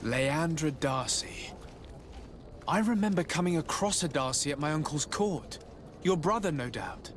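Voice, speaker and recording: A man's voice: a little high, animated, a little slow, slight background noise. A man playing a composed, courteous noble diplomat in a video game, speaking politely and with discretion. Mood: sympathetic, thoughtful. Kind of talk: video game cutscene acting.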